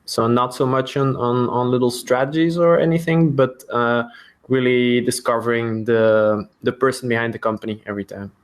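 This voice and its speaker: A man gives an explanatory business talk as a podcast guest. His delivery is flat in pitch and measured, with hesitant phrasing. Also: smooth. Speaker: podcast guest, startup founder.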